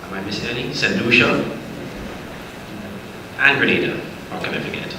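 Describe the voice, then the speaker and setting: A man's voice: medium pitch, normal speed, pushed and bright. Courteous man greeting a conference room. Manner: courteous, lightly joking. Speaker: session moderator. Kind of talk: formal greeting. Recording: echoey hall, faint noise.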